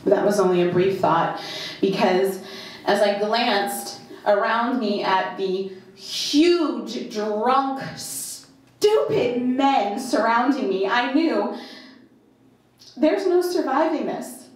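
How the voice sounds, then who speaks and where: Slow, echoey woman reading a dramatic monologue. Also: a little high, animated. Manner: deliberate, halting delivery with short phrases and emphatic repetition. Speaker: reader performing a monologue. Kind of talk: dramatic first-person monologue reading at a live event. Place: indoor live performance in a club. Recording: very echoey live venue.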